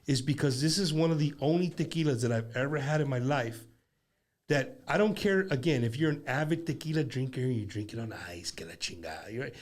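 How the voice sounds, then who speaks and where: A man's voice: mid-range voice, animated, a little fast. A middle-aged man, hosting a loose chat show with a band and rambling as he repeats his words. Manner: rambling, repeats words, mixes in Spanish slang. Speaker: show host.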